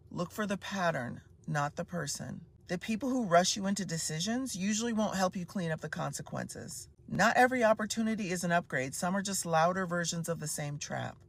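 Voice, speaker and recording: A speaker in their fifties, heard in a played clip, delivering a steady monologue of short life maxims. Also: medium pitch, gravelly. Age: 50s. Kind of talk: measured advice monologue.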